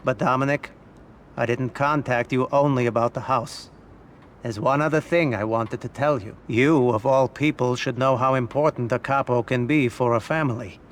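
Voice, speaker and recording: Calm, calculating older mob boss, acted by a man. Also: mid-range voice, a little hiss or hum, close to an everyday voice. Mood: calm, advising. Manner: measured, understated, authoritative. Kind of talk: video game cutscene acting.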